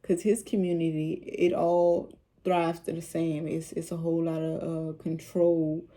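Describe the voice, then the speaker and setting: A woman with a low, soothing voice, speaking casually and with rambling repeats as she talks about faith on her vlog. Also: flat delivery, unhurried, warm tone. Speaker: vlogger.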